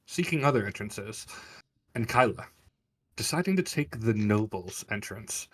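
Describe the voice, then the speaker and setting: A man narrating a tabletop fantasy game as game master, setting scenes in a vivid, theatrical storyteller's way. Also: fairly low pitch.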